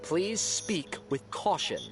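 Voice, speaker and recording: An officious game moderator, stern and formal, with a high voice that swings dramatically in pitch. He declaims like a keeper of order, with music behind him. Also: very animated, slow pace, full character voice. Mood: formal, cautioning. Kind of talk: video game voice acting.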